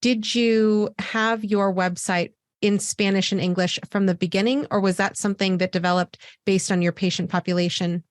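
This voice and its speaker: A woman host asks long, careful multi-part questions in a mid-pitched voice. She affirms her guest warmly, and her voice sounds dry and close. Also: fairly even intonation, moderate pace, hardly any pauses, no echo. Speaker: podcast host. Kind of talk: interview questions.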